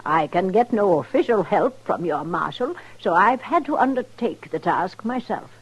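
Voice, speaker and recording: A woman playing an imperious elderly grandmother of high society in a radio play, formal, genteel and condescending. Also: lively intonation, moderate pace, slightly rough, muffled recording. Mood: haughty, insistent. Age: older. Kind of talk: old-time radio drama acting. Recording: vintage radio recording.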